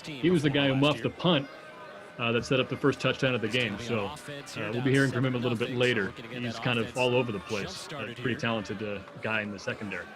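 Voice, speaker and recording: A man moderating a watch party, giving background information and asking steady questions. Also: mid-range voice, fairly quick, crowd noise.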